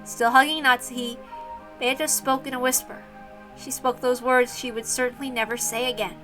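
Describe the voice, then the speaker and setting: Lady narrating a story out loud, chatty asides, bit of music under it. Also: sits high, level tone, moderate pace, poor audio. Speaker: reader and player of a visual novel. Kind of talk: read-aloud with casual asides.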